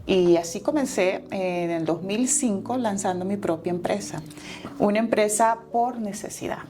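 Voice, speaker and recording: A woman's voice: mid-range voice, moderate pace, mellow. A Salvadoran woman in her forties, a guest entrepreneur telling her life story in an interview, earnest and reflective, with fillers and self-corrections.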